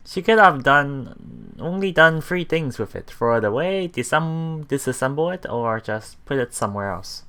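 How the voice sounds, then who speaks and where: A man doing casual let's play commentary, haltingly reading and paraphrasing story text aloud while thinking out loud. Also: mid-range voice. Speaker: let's player.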